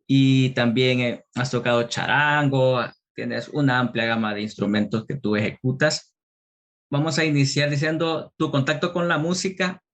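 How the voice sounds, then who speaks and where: Hombre de voz áspera presentando. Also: male voice, mid-range voice, steady tone, moderate pace, a bit bright. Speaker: podcast host. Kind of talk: reading an introduction, then interviewing.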